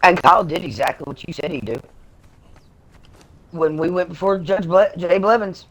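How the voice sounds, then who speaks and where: A woman's voice: lowish voice, normal speed, highs cut off. A middle-aged woman telling personal stories in a blunt, salty, casual way on a live stream, acting out what people said. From Ukraine. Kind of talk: casual chat, storytelling. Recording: music behind the voice.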